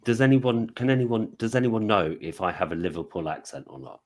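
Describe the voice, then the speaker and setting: A man with a British accent tells a story to a panel in a fairly low voice. He is animated and puts on the voices of the people he quotes. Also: brisk. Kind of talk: casual storytelling.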